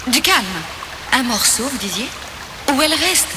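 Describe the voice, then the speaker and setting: An actress playing a confident, dryly teasing adventuress in a video game dub, speaking in a wry, self-assured, clipped way. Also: female voice, high voice, lively intonation, normal speed, noisy background. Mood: sharp, in control.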